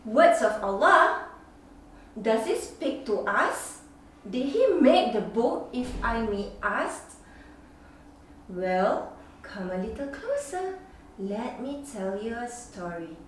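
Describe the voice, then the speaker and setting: A mother with a Singaporean accent reading a children's picture book aloud, expressive and sing-song, with gasps. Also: on the high side, takes it slowly, long pauses, a little hiss or hum. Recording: echoey room, mic at a distance.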